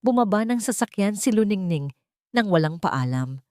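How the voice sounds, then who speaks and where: A woman's voice: mid-range voice, sing-song, normal speed, darkish tone, dry recording, studio quality. A woman giving a dramatic, scripted narration of a story.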